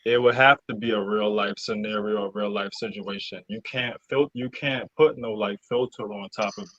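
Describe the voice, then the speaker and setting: A man talking casually as an interview guest, halting and searching for words, often interrupting himself. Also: on the low side, fairly even intonation, fairly bright tone, no room sound, highs cut off. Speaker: interview guest, cast member. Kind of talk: casual conversational interview talk.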